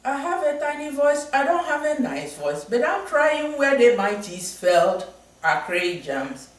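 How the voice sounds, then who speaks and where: A tiny long-legged frog pleads in a high chanting song, as a man voices her in a storybook. The delivery is slow. It sounds far away in a very echoey room. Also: big pitch swings. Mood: pleading chant.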